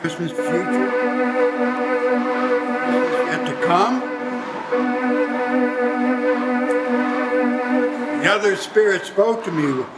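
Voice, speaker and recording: A man's voice: high-pitched, lively intonation, takes it slowly, over music. A man playing a frightened, repentant old miser in a live radio play, earnest and theatrical as he questions and pleads. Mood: fearful, awed. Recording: live stage recording with some noise.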